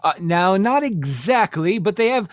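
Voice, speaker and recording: Man acting a self-important starship captain, high male voice, halting, flustered, comedic radio theater. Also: big pitch swings, no room sound, studio quality. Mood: defensive, hurried. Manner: halting, stammering when awkward.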